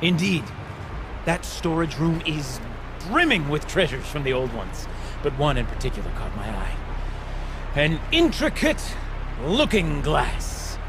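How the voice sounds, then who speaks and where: A high, wildly swooping voice for an eccentric older man in a video game. He rambles in grand, wordy phrases with theatrical excitability. Clear background noise sits behind him. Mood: wistful. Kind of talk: video game character acting.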